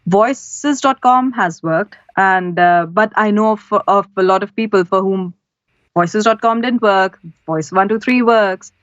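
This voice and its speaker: Woman from India, pro voice-over artist as guest, earnest and practical, medium pitch, slightly muffled recording. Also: unhurried, no room sound. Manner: earnest, practical, gives advice step by step. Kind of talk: interview answers. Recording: slightly dull, lo-fi audio.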